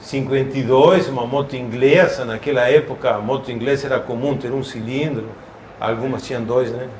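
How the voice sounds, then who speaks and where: An Argentine man with a mid-range voice speaks slowly and fondly about classic vehicles. His talk is recorded in an echoey room, with a faint hum of ambience underneath. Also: medium pitch, fairly even intonation, a little mellow. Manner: unhurried, fond of his vehicles, frequent tag questions. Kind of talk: casual explanatory talk.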